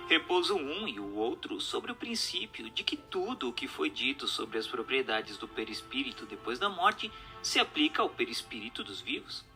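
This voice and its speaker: A man's voice: medium pitch, sing-song, moderate pace, hardly any pauses, over music. A man giving a formal scripted narration, reading from a book.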